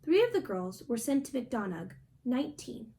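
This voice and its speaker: A woman's voice: fairly high pitch, very animated, normal speed, creaky, mellow. A young adult woman reading a picture book aloud as a storybook reader. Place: small indoor room at home.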